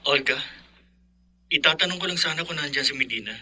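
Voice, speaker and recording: Sharp-voiced worried Filipino husband. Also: male voice, a little high, brisk, no echo. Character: worried young husband searching for his missing wife. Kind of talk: Filipino radio drama acting.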